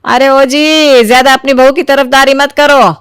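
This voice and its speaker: A woman acts out a domineering middle-aged mother-in-law from a cartoon moral tale. Her voice sits fairly high and she scolds, grumbles and exaggerates. The sound is very dry, with no room around it. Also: steady tone, measured pace, no echo. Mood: nagging. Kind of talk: Hindi animated moral story acting.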